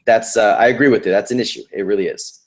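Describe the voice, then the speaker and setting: A man's voice: lowish voice, fast talker. Upbeat slangy male nurse. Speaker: nurse, interview guest. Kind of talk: casual interview chat.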